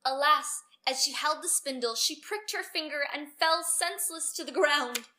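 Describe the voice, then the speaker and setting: Woman, children's storyteller, high pitch, bright tone, expressive read-aloud narration. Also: moderate pace.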